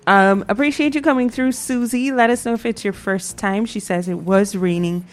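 A woman's voice: medium pitch, moderate pace. A Guyanese woman co-hosting a morning radio show, chatting casually and reading out listener messages. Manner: upbeat, reads listener messages aloud.

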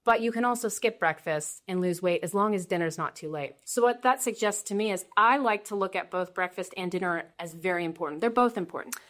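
A woman's voice: fairly low pitch, brisk, few pauses. Woman expert explaining in an interview. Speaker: expert guest, researcher.

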